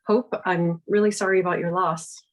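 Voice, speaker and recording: A woman reading the part of a tense wealthy wife and mother at a screenplay table read, with clipped, guarded lines. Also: lowish voice. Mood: subdued.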